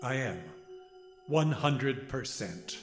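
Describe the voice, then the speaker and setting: A man's voice: mid-range voice, expressive, slow pace, echoey room, over music. A man playing a determined, apologetic grandfather in an audio drama. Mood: resolute, regretful. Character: determined, apologetic grandfather leading a desperate plan.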